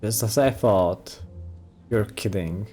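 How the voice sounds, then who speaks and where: A woman's voice: rumbling low, slow pace, mellow. A woman doing casual let's play commentary, musing to herself and tossing in remarks over the game. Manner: musing to self, interjecting. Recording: game music playing behind.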